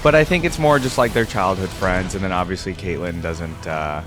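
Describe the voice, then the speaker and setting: A man's voice: low-pitched, normal speed, music in the background. A man hosting a reaction video with casual, conversational commentary, thinking aloud and qualifying his opinions as he goes.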